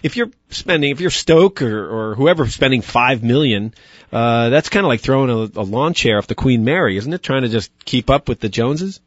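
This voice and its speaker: A man's voice: mid-range voice, expressive, normal speed, dry recording. A man hosting a radio talk show, wry and opinionated in how he talks. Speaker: radio host. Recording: dull lo-fi radio broadcast.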